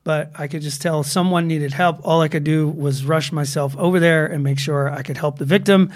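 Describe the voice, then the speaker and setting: A man with a high-pitched voice co-hosts a casual talk show. He recaps news stories in a loose, meandering way, full of fillers and restarts. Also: fairly even intonation, moderate pace. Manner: rambling with many fillers and restarts. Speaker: podcast co-host. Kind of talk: casual chat.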